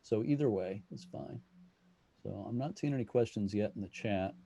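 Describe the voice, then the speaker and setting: A man's voice: lowish voice, moderate pace, gravelly, warm tone, slightly rough. A man casually moderating a question session on a video call as the host. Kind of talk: casual moderating of an online meeting.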